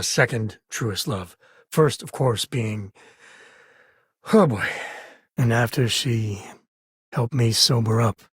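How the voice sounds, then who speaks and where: An actor voicing a gruff, wry spacefaring cowboy father in a video game, with a husky, raspy voice and folksy, informal delivery. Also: medium pitch, a bit bright, dry recording, studio quality. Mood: easygoing. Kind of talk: video game character voice lines.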